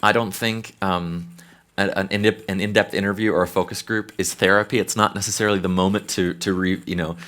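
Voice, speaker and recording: A man's voice: low voice, steady tone, a little fast, a bit bright. An American man, a consultant, answering questions on a conference panel in a thoughtful, conversational way with frequent restarts. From United States. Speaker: behavioral science consultant.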